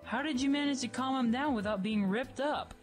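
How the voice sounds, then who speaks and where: A woman's voice: high voice, a little fast, faint music behind, natural voice. A woman voicing a sarcastic, brash young dead woman in a fan radio play, snappy and exclaiming. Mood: snarky.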